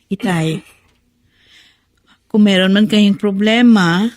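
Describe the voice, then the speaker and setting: Woman playing pleading Filipino daughter, hurt, concerned, medium-pitched voice, emotional radio drama. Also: slow, lightly acted. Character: hurt, concerned adult child confronting weeping father.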